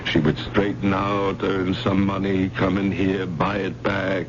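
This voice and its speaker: An actor playing a sympathetic, insistent pawnshop owner in a radio drama, speaking earnestly and pleadingly. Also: male voice, low-pitched, monotone, unhurried. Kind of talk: 1970s radio drama acting. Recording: muffled, noisy old radio broadcast.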